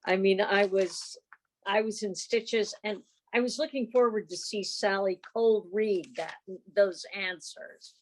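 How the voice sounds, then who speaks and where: Woman, meeting host, medium pitch, very unhurried delivery, appreciative, informal, video call. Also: poor audio. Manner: effusive, appreciative. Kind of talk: informal closing thanks at a meeting.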